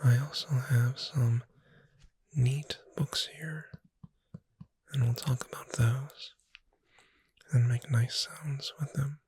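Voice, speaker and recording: A performer voicing a gentle, reassuring witch bookseller in a breathy whisper, soothing the listener in an ASMR roleplay. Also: mid-range voice, fairly even intonation, slight background noise, natural voice. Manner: slow, gentle, soothing, addressing the listener directly.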